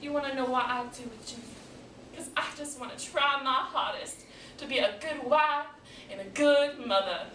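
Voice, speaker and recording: A woman performing a comic stage monologue as an exasperated, hard-working wife and mother, scolding loudly and emphatically. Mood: martyred complaint. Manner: loud, shrill, emphatic scolding with drawn-out words. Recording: echoey room, distant mic, background noise.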